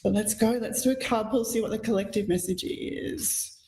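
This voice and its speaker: A croaky-voiced woman hosts a live psychic show, her talk gentle and flowing with spiritual phrasing. Her voice sits in the middle of the usual range for a woman. It rings around an echoey room. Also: medium pitch, moderate pace, a little hiss or hum. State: croaky from illness. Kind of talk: live psychic radio hosting.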